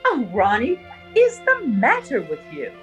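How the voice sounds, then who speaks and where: Fussy, high-voiced society aunt, swooping pitch. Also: female voice, wildly swooping pitch, unhurried, fairly bright tone, full character voice. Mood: scolding, exasperated. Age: older. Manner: prim, haughty, fretful. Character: fussy, snobbish older society aunt, scolding and impatient. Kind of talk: 1930s radio drama acting. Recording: 1930s radio recording with music.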